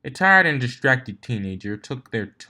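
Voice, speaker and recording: A man's voice: mid-range voice, very animated, normal speed, sharp tone. A man reading a scripted story aloud as a fan fiction narrator.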